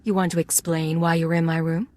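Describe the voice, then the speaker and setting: A woman's voice: sits low, flat delivery, fast, darkish tone, natural voice. Woman acting a composed, terse undercover agent. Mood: cool, probing. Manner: terse, controlled, businesslike. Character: composed, resolute young female undercover imperial agent. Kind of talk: dramatic video game acting.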